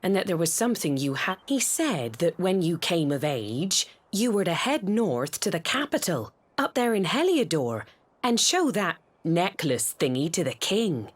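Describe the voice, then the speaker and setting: Lively, homely British village mother. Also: on the low side, measured pace. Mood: wry, resigned. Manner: homely, chatty, exclamatory. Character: doting, homely middle-aged village mother, fussy and wry. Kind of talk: scripted video game voice acting. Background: music.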